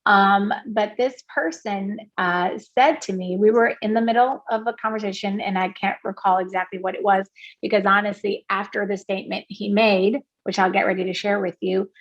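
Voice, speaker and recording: A woman's voice: mid-range voice, steady tone, moderate pace, dull audio. A woman from Puerto Rico, a guest in an interview, talking earnestly with many restarts and fillers. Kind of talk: candid interview conversation.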